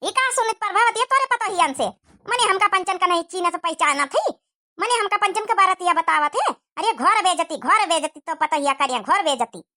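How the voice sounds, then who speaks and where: Woman voicing a shrill, hot-tempered Awadhi auntie. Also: expressive, fairly quick, bright, forceful tone, exaggerated voice. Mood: indignant. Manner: complaining, haughty. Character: hot-tempered, demanding elder sister visiting as guest. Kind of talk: exaggerated cartoon comedy dialogue.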